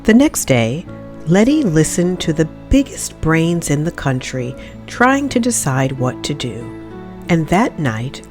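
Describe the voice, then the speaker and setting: A woman reading a children's story aloud in expressive, dramatic narration with deliberate phrasing. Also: low voice.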